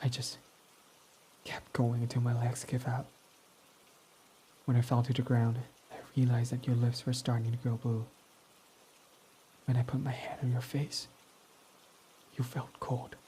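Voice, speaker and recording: A man's voice: medium pitch, little pitch movement, unhurried, long pauses, mellow, slight background noise, natural voice, poor audio. Man voicing a proud tsundere villain. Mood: quiet, confessing. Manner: defensive, swears when flustered. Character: proud tsundere young male villain, gruff but smitten. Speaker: ASMR roleplay performer. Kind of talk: ASMR roleplay.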